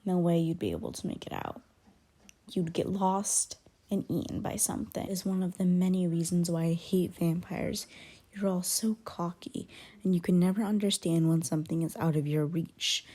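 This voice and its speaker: A woman playing an aloof, grudgingly caring young werewolf in an ASMR roleplay, soft and breathy with whispered dips and blunt, guarded, halting delivery. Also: fairly low pitch, lightly acted. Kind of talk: ASMR roleplay, intimate one-sided character dialogue.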